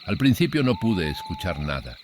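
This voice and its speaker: A man narrating a scripted horror story in a measured, literary storytelling manner. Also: deep voice, sing-song, a little hiss or hum. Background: background music.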